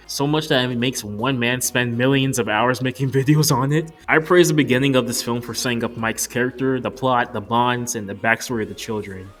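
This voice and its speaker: A man's voice: medium pitch, fairly bright tone. A man in his twenties narrating an opinionated, scripted movie review in an informal way. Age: 20s. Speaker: video reviewer.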